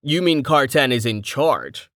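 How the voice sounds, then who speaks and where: A narrator voicing Rain, a polite and concerned young adventurer, close to the narrator's own voice. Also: male voice, mid-range voice, expressive, a little slow, fairly bright tone, no echo, pitched above the performer's own voice.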